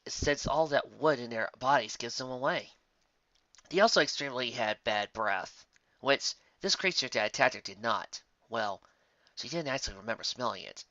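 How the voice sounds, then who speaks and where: A man reading scripted story narration as an amateur, expressive and occasionally stumbling over the text. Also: mid-range voice, no echo, muffled recording.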